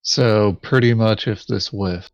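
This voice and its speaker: A man's voice: on the low side, slow, no room sound, highs cut off. A man chatting casually over a board game in brief, matter-of-fact remarks. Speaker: board game player on a playthrough show. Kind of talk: casual tabletop game chat.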